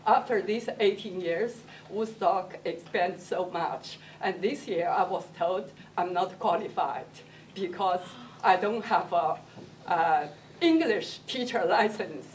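A middle-aged woman from China with a Mandarin Chinese accent, a teacher giving halting, earnest public comment in non-fluent English. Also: fairly low pitch. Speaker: teacher speaking from the audience. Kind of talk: impromptu public comment at a meeting. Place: school library, public meeting. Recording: distant mic, faint room noise.

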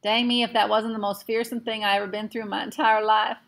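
A woman's voice: mid-range voice, level tone, fast talker, vocal fry, fairly bright tone. Woman putting on a folksy little cowboy. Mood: shaken. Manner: folksy drawl, ungrammatical. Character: tiny old-time cowboy man, Boone, folksy.

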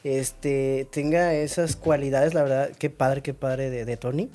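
A woman's voice: very deep voice, steady tone, mellow. A woman with a Mexican accent hosting an interview podcast, asking friendly follow-up questions. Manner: friendly, quick to laugh, asks follow-ups. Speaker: podcast host. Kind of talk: casual interview chat.

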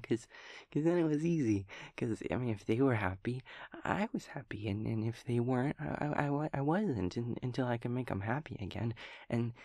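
Man playing a creaky-voiced, stammering, naive daemon boy. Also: medium pitch, no room sound, studio quality, close to an everyday voice. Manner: hesitant, stammering, rambling, childlike, softly giggling. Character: gentle, naive, scatterbrained young male empathy daemon friend. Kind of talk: audio roleplay to the listener.

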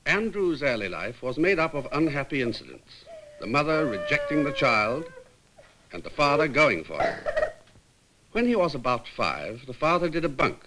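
An actor playing an articulate British psychiatric nurse, gravely narrating a case history in a 1950s film. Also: male voice, sing-song, pushed and bright, a little hiss or hum, poor audio. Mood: grave, explanatory. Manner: measured, grave, emphatic. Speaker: hospital staff member recounting a case history. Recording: faintly rumbling 1950s film soundtrack.

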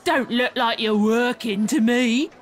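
A woman's voice: on the high side, unhurried, some chatter behind, close to an everyday voice. An actress playing a nosy, teasing village woman with a British accent and colloquial, mocking talk in a comic audio drama. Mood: cheeky, mocking.

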